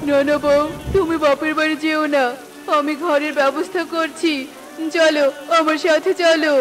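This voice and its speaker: A woman's voice: high voice, flat delivery, normal speed, close to an everyday voice. A woman voicing a bullying, thieving male crow in a children's cartoon, domineering and dismissive. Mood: scheming.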